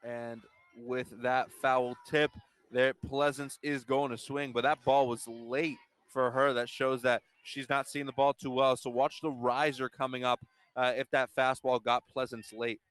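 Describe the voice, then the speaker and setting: A man with a clear, resonant voice at an average pitch adds color commentary to a softball game. He breaks down pitches in a casual, analytical way. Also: little pitch movement, unhurried, no room sound.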